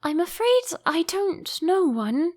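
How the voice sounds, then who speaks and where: A curious young storybook girl, played by a woman, with a light, very high voice that rises and falls freely. She is polite, gentle and a little cautious. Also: very animated, slow pace, exaggerated voice. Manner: gentle, earnest.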